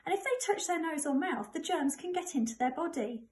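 Middle-aged English woman, notably high voice, primary school storytime read-aloud. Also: expressive, brisk, darkish tone. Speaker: primary school staff member reading to pupils. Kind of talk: children's book read aloud.

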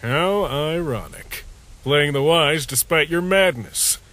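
A man's voice: fairly high pitch, expressive, slow. A man voicing a sardonic, weary skeleton judge in a comic dub, with a dry, taunting drawl. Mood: wry, resigned. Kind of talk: fan comic dub acting. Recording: loud music behind the voice.

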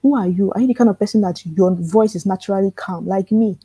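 A soft-spoken woman with a calm voice teaching a live online workshop for authors, earnest and repetitive, asking rhetorical questions. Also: mid-range voice, quick pace, breathy edge, no room sound. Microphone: computer mic in a live webinar.

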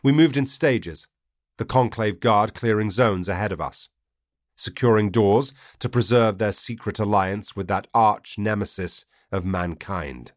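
A man reading a first-person story as an audiobook narrator, grave, theatrical and deliberate. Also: fairly low pitch, no room sound.

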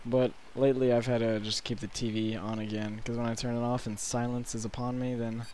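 Hoarse eighteen-year-old man rambling. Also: mid-range voice, little pitch movement, darkish tone, a little hiss or hum. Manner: rambling, halting with many fillers. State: hoarse, sore throat. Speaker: gaming commentator. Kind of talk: casual gameplay commentary. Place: bedroom at night, alone.

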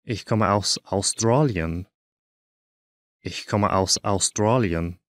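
A man teaching a language course, saying each short phrase twice in slow, careful drills. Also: on the low side, no room sound, studio quality.

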